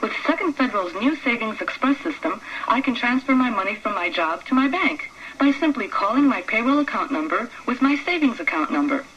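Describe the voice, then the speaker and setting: A woman's voice: fairly high pitch, expressive, brisk, hardly any pauses, fairly bright tone. A woman playing an earnest, cheerful bank customer in a spoof testimonial commercial, sincere and matter-of-fact. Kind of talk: parody testimonial ad read. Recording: dull lo-fi radio broadcast, faint noise.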